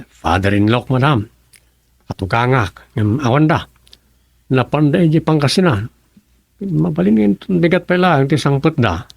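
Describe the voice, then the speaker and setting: A man's voice: medium pitch, animated, unhurried, vocal fry, long pauses, dry recording. An actor playing a folksy village councilman in an Ilocano radio comedy drama, with an Ilocano accent. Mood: polite. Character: village councilman, folksy adult man chatting with townsfolk.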